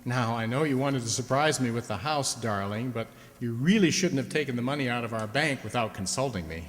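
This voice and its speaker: An actor playing an affectionate, excitable husband in a radio play. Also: male voice, mid-range voice, fairly quick, close to an everyday voice. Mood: uneasy, gently chiding. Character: affectionate husband, excitable, prone to panic and disbelief. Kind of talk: live radio play acting. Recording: echoey hall with long reverb.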